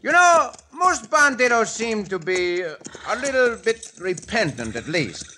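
A charming Mexican cowboy hero from a 1950s radio serial, speaking with a Mexican Spanish accent and gentle humor. His voice is high and bright and moves lively up and down. Faint noise sits behind it. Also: measured pace, exaggerated voice. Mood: amused. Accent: put-on Mexican Spanish accent. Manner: smooth and amused, lightly teasing. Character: dashing, good-humored Mexican caballero hero. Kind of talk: 1950s radio drama acting.

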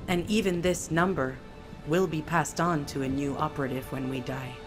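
A woman's voice: low voice, fairly even intonation, normal speed, over music, natural voice. An actress playing a bitter, defiant female assassin in a video game, with a brooding, biting delivery. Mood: bleak, resigned. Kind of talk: dramatic video game acting.

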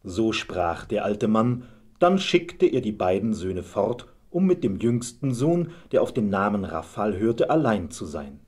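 Husky male fairy-tale narrator. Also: on the low side, sing-song, unhurried, a little mellow. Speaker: storyteller, narrator.

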